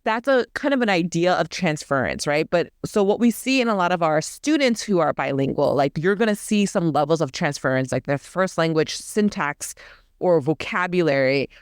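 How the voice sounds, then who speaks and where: Woman with a low, smooth voice, chatty. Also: lively intonation, moderate pace, dry recording, clean studio sound. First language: Korean. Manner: chatty, searches for words, many "like" and "right?". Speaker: podcast co-host. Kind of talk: casual academic discussion.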